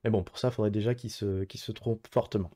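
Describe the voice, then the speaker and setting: A man's voice: on the low side, fairly even intonation, fast, dark tone. A man commentating casually on his own online geography game, thinking aloud in hesitant, piecemeal phrases as he plays. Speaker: gamer playing an online geography guessing match.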